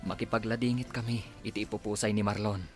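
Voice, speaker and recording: A man's voice: on the low side, little pitch movement, fast, music in the background, lightly acted. A man with an Ilocano accent playing a sober, supportive friend in a radio drama, speaking solemnly. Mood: solemn condolence. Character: male friend offering condolences, sober and supportive.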